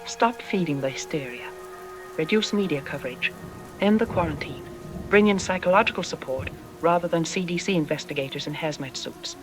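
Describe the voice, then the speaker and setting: A woman playing a self-assured psychologist on a radio drama interview, explaining in an articulate, didactic and calmly dismissive way. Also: low-pitched, brisk, close to an everyday voice. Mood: firm, resigned. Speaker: expert interview guest. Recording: music playing under the voice. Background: background music.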